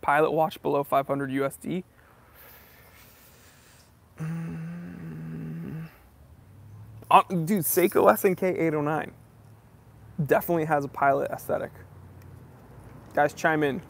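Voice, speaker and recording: A man's voice: on the high side, fairly even intonation, takes it slowly, vocal fry, pauses often, breathy edge. A man from New England hosting a casual livestream Q&A. He reads viewer comments aloud and answers them in a friendly, easygoing way. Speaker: host of a watch channel.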